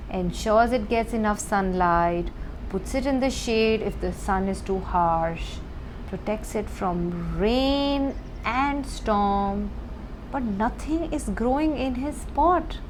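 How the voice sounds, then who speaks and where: A woman narrating a children's bedtime story with deliberate, emphatic phrasing and dramatic pauses. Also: fairly high pitch, a little hiss or hum, rough recording.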